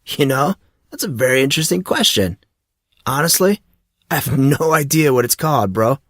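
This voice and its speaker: A man acts out a teenage boy for an audiobook, an enthusiastic martial arts student. He talks in loose, slangy bro-talk with lively swings in pitch. The sound is dry and close. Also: moderate pace, no room sound. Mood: casual, evasive. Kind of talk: audiobook character dialogue.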